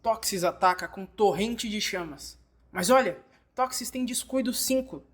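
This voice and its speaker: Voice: mid-range voice, unhurried, a bit bright. A narrator with a Brazilian Portuguese accent, reading a scripted game tutorial and instructively addressing the learner directly.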